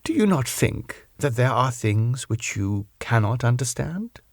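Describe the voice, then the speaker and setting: A man voicing an older professor in an audiobook, earnest and philosophical, putting questions in halting, foreign-sounding English. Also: medium pitch, sing-song, no echo, clean studio sound. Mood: earnest, chiding. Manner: rhetorical questioning, halting non-native English phrasing. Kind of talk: audiobook character acting.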